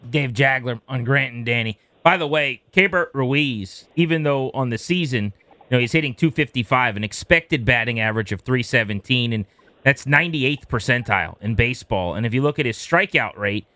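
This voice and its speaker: Bright, forceful American male sports radio host. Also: medium pitch, moderate pace, no echo. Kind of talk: lively sports talk interviewing and commentary.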